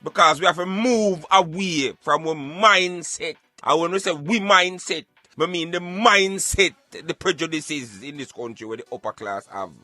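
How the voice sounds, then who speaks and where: Jamaican male, forceful and rhetorical opinion talker, close dry sound, faint music underneath. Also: a little high, measured pace, few pauses, dry recording. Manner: emphatic, rhetorical, preachy. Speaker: radio show host. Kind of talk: impassioned opinionated talk in Patois.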